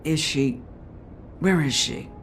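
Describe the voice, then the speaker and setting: A woman's voice: low-pitched, slow, slight background noise. An actress playing a grieving, foul-mouthed troll woman who runs a bar, blunt and guarded in a dramatic video game. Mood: defensive. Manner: blunt, bitter, guarded, swearing.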